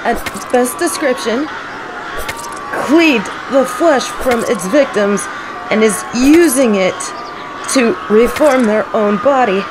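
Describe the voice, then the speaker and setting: A woman performs an earnest female professor in a dramatic audio roleplay, documenting the supernatural. She speaks slowly and haltingly in a fairly high, breathy, fretful voice. Crows caw in the noisy outdoor ambience behind her. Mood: grim awe.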